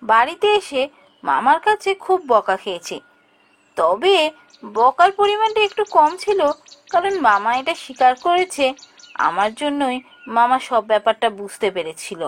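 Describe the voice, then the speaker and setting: A woman voicing a curious, stubborn child who narrates a spooky cartoon adventure in a suspenseful, expressive way. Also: sits high, normal speed. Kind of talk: dramatic scripted ghost-story narration for animation.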